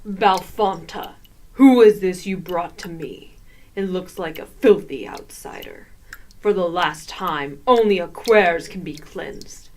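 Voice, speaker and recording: Actress as stern alien high priestess, lowish female voice, imperious, scornful, formal, sci-fi radio play, faint noise. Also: slow pace, a little mellow. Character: haughty, stern alien high priestess disgusted by filthy outsiders. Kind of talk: comedic sci-fi radio drama acting.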